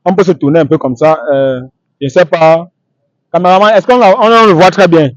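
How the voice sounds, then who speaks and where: Guy doing street interviews, highish voice, repeats himself a lot, pretty dry sound. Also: no echo, highs cut off. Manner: repetitive, halting phrasing.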